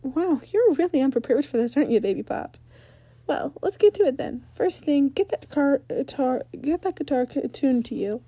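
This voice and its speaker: A woman's voice: high voice, lively intonation, moderate pace, dark tone, no echo, pitched above the performer's own voice. A woman voicing a brash, cocky teenage rock-star ghost girl with blunt, teasing, slangy delivery in a read-aloud story.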